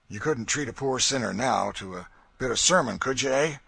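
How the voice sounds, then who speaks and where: Man doing a brutal slave master, sneering, bullying, loud, sharp-toned. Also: mid-range voice, animated, normal speed, gravelly, husky, no echo, voice pushed up, exaggerated voice. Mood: taunting. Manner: mocking, taunting, bullying.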